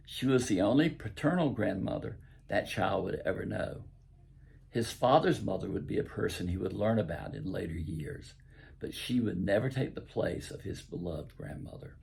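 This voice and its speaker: An older man with a Southern US accent and a raspy voice, reading his own story aloud in a folksy, deliberate storytelling way. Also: lowish voice, a little mellow. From southern United States. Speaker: host and author reading own stories.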